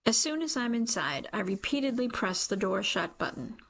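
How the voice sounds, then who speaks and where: A woman reads first-person fiction as a scripted narrator. Her voice is mid-pitched, with a strong creaky fry running through it. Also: big pitch swings, normal speed, a bit bright. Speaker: audiobook reader.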